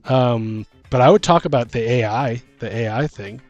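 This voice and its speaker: A man with an average-pitched voice chats casually, working out ideas as he goes. He rambles like a game master among friends, with music faintly playing behind him. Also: normal speed, a little mellow, lo-fi sound. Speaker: tabletop game podcast host and game master. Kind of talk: casual chat about fantasy tabletop games.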